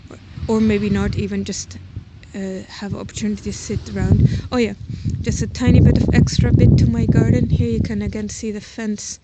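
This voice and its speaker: Hesitant woman in an even tone, outdoors. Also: medium pitch. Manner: hesitant, informal, thinking aloud while walking. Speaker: host giving a tour of their own garden plot. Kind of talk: casual unscripted walking tour narration. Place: outdoors around a house and garden. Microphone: handheld camera or phone while walking. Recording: noisy outdoor handheld recording, ambient sound.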